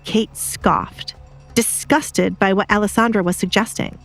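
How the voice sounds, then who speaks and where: A woman's voice: medium pitch, fairly even intonation, a little slow, gravelly, a bit bright, soft background music. A woman narrator reading a scripted romance drama.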